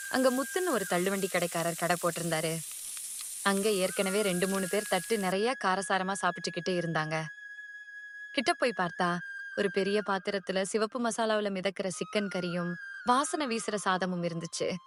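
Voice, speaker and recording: A woman narrating a cartoon moral story in a clear, expressive way. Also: mid-range voice, moderate pace, background noise. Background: music.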